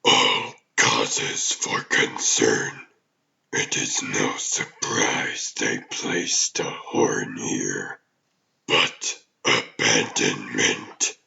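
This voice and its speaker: A man voicing an ancient, imperious demon overlord in a comic dub, with a gravelly growl and pompous, formal, deliberate delivery. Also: extremely low pitch, sharp tone, muffled recording, heavily acted. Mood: brooding, disdainful. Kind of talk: theatrical villain acting in a comic dub.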